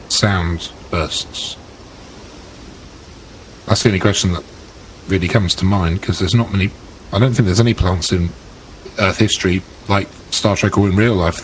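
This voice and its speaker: A man's voice: sits low, a little hiss or hum, poor audio. A man talking casually as a player in a tabletop game, in a considered, analytical way.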